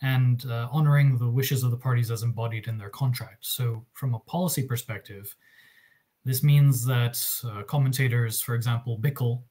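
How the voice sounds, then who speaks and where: A man speaks on a video-call panel as an arbitration lawyer, calm and articulate with only light fillers. He is a native Russian speaker talking from a room at home. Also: mid-range voice, normal speed. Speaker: arbitration lawyer, founder of a disputes practice.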